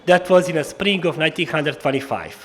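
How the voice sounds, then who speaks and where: Halting Slavic-accented man, slightly high-pitched, lecturing. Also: animated, a bit bright, a little hiss or hum. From former Yugoslavia. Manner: halting, hesitant, with many stumbles and repeated words. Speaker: historian giving an annual lecture. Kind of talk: formal public lecture.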